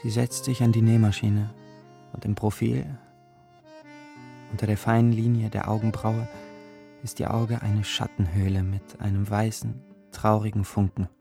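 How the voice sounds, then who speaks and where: A gloomy radio drama narrator who looks back on a poor youth. His voice is low and mellow with some creak, somber and measured, over music. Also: level tone.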